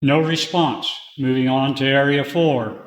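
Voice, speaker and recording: A man reading scripted instructional material and radio procedures in a deliberate, procedural way. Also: medium pitch, steady tone, low-quality recording. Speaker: training presenter and radio net controller in demonstration.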